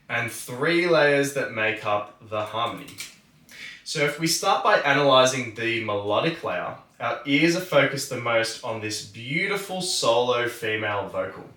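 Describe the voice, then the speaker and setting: A man presenting a music tutorial, explaining methodically in a measured, instructional way. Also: mid-range voice, sharp tone. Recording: roomy, distant microphone.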